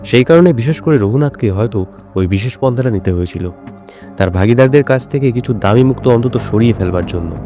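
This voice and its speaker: Male actor as sharp-witted detective, audio drama, relaxed mellow tone, measured explanations, faint music. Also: medium pitch, close to an everyday voice. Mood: measured, deductive. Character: shrewd, self-assured male detective explaining his deductions. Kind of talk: Bengali audio drama dialogue.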